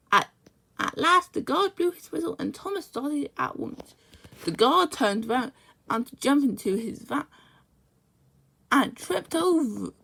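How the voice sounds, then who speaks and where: A high-voiced young-sounding reader reading a children's storybook aloud, stumbling and repeating words along the way. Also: vocal fry, a bit bright, slightly rough, low-quality recording.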